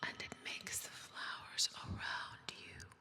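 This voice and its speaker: A woman whispering a story in a soft-spoken, hushed and deliberate ASMR style, close to a binaural microphone. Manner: slow, hushed, deliberate delivery with careful pauses. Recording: long reverb over music bed. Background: music.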